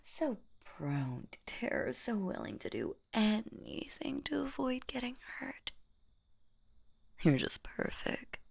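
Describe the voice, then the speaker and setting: A woman voicing a sadistic, playful boogeywoman in a horror audio roleplay, whispering in a husky, teasing, menacing and mock-sweet way. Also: sing-song, slow, full character voice. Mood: threatening, pleased. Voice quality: breathy, husky whisper with creaky low notes. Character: sadistic, playful female boogeywoman monster hunting humans.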